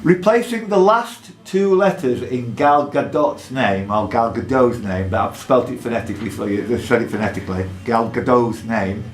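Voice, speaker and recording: A man hosting a live quiz in a pub, reading questions out deliberately and adding jokey asides. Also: mid-range voice. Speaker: quizmaster. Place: indoor pub. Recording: echoey pub room with background noise.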